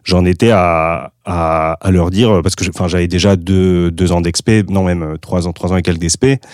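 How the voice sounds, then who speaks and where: Give me a low-pitched Spanish man in his thirties, speaking hesitantly in a dry, close recording. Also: steady tone, normal speed, darkish tone, no room sound. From Spain. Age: 30s. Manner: hesitant, many restarts and fillers. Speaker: guest. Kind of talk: casual interview talk.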